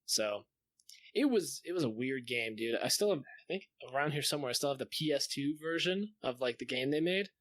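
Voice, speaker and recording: A man's voice: mid-range voice, expressive, brisk, fairly bright tone, no echo. A man talking casually and in slangy detail as a gaming podcast host.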